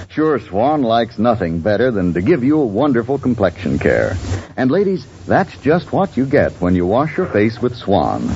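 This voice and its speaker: Male 1940s radio announcer, scripted pitch, lively inflection, lo-fi broadcast sound. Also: slight background noise. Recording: dull old radio broadcast, music.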